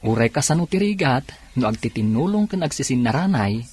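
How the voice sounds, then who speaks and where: A man's voice: mid-range voice, very animated, a little fast, darkish tone, breathy edge. A man playing a humble, forgiving nephew with an Ilocano accent, respectful and earnest, in a radio drama.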